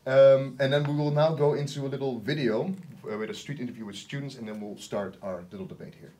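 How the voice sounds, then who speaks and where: A man's voice: mid-range voice, steady tone, fast, warm tone. A young man moderating a university webinar panel, introducing guests in a plain, organized way. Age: young adult. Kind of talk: webinar hosting. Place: indoor panel studio.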